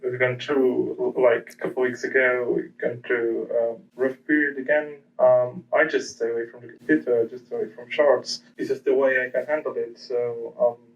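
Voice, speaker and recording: Male guest from Portugal, flat hesitant delivery, muffled distant mic. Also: mid-range voice, moderate pace, few pauses. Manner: hesitant, many ums and restarts. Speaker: guest trader. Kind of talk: casual interview answers.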